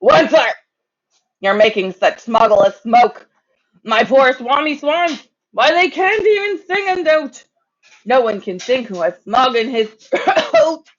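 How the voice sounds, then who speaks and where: A reader voicing a small, scolding old tree-guarding creature from a storybook, croaky, indignant and emphatic. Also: high-pitched, animated, slow pace, highs cut off, voice pushed up, heavily acted. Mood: indignant, pleading. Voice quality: coughing, croaky.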